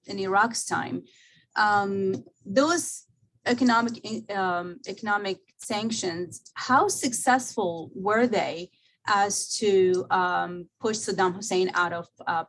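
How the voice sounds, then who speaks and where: A woman's voice: medium pitch, rough recording. A young adult woman from Iraq, speaking earnestly as a panelist in an academic talk, with frequent fillers. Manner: earnest, many fillers, personal perspective. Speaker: visiting scholar panelist.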